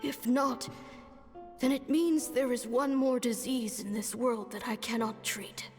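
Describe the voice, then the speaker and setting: A grave woman mentor from a video game, speaks slowly in a fairly high voice. She is solemn, resolute and theatrical, ringing in a long reverb. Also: steady tone, a little mellow. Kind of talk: video game dialogue acting. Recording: long reverb over music.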